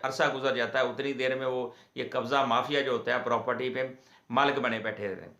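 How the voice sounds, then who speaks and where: A man with a Pakistani accent gives an informal legal explainer in a halting, repetitive, explanatory way, as the host of an advice channel. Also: mid-range voice, bright, forceful tone. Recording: echoey room.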